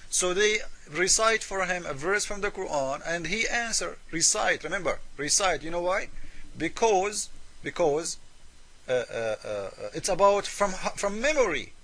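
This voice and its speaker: A high, bright-toned man with Arabic as his first language, speaking English and arguing a point straight to his viewers. He presses hard with rhetorical questions and scornful jabs, keeping the tone combative throughout. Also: animated, unhurried. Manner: emphatic and mocking, fires rhetorical questions. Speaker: religious debate commentator. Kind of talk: argumentative commentary to the viewer.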